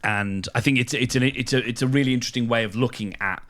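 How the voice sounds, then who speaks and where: A man's voice: mid-range voice, quick pace, a bit bright. A man co-hosting a podcast, explaining ideas in a casual, thoughtful conversational way. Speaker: podcast co-host.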